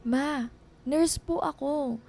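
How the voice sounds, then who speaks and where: An actress playing a dutiful young daughter who works as a nurse in a radio drama, earnest, respectful and insistent. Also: female voice, high-pitched, extremely slow, vocal fry, a little mellow, slight background noise, exaggerated voice. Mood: concerned, insistent. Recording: music bed under dialogue. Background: background music.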